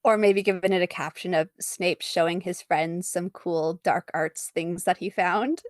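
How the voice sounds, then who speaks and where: A woman's voice: lowish voice, measured pace, no echo, clean studio sound. Giggly, informal woman chatting about her art. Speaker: interview guest, fan artist. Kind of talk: casual chat about own artwork.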